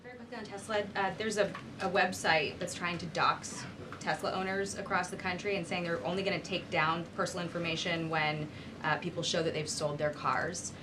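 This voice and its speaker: Reporter: woman with a lowish voice, posing questions at a briefing, noisy room behind her. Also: steady tone, a little fast. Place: indoor press briefing room.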